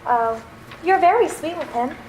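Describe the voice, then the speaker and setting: A woman's voice: high voice, lively intonation, a little slow, a little mellow, low-quality recording. A woman playing an affectionate, inquisitive young sweetheart in a radio play. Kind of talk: old-time radio play acting. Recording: slight background noise.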